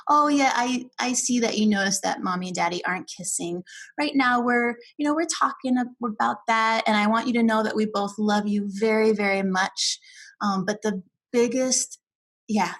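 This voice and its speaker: Woman coach giving gentle advice. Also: mid-range voice. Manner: gentle and affirming. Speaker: relationship coach. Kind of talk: coaching advice on a call-in show.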